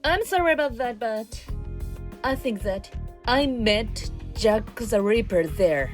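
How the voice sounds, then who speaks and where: A woman's voice: a little high, animated, slow. A woman playing an earnest, kind-hearted young amateur sleuth in a radio drama, sincere and hesitant. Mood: hesitant, evasive. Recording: music behind the voice.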